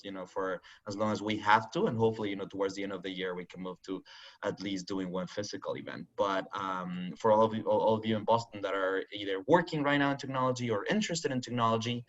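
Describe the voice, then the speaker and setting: A man's voice: on the low side, fairly bright tone. A young man hosting a virtual interview, friendly and hesitant with lots of fillers. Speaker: host. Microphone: video call. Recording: dull lo-fi call audio.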